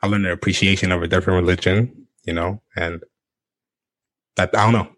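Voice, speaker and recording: A man hosting a casual group discussion, relaxed and full of fillers. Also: low voice, fairly quick, no echo. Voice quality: relaxed, filler-heavy, laughs often. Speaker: podcast host.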